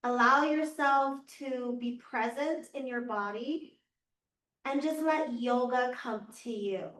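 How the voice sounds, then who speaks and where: A woman yoga teacher guiding a class through slow, soothing cues, her voice a little raspy from losing it. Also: fairly high pitch, a bit bright. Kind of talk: guided yoga class instruction. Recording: echoey room, voice from far off.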